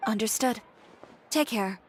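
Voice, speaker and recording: A woman's voice: fairly high pitch, unhurried, a little hiss or hum, lightly acted. An actress playing a proud, self-assured female fashion designer in a video game, with a cool, composed, dryly defiant manner.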